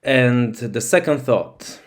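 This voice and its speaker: Slow, hesitant man, Polish native speaker. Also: mid-range voice. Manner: hesitant, thoughtful, frequent fillers. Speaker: channel host. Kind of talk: slow casual talk to camera.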